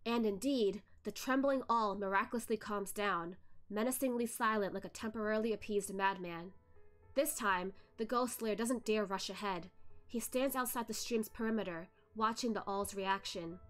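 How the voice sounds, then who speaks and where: Woman narrating a story over soft music. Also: medium pitch. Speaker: novel reader. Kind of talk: reading a web novel aloud. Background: soft background music.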